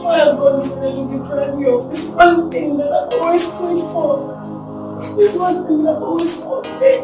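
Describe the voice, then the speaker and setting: Brief: woman as distraught young woman, very high voice, echoey and far off, music under. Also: big pitch swings, takes it slowly, exaggerated voice. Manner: pleading, tearful, exasperated. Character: torn young woman, emotional, prayerful. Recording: echoey room, far from mic, music behind.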